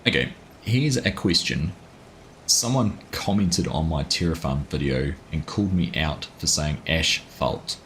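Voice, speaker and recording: A man's voice: a bass voice, expressive, measured pace, fairly bright tone, slight background noise. A young man with a New Zealand accent, chatting casually and reading chat aloud while livestreaming a farming game. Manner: chatty, laughs at himself, reads chat aloud. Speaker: livestream gaming host. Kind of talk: casual live gameplay chat.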